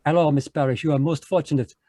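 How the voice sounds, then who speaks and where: A man's voice: fairly high pitch, steady tone, fast, mellow, lightly acted. A man playing a fussy Belgian detective with a French-flavored accent and formal phrasing, in a live radio play over video call. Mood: knowing. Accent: French-flavored English, put on. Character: fussy Belgian male detective, precise, commanding.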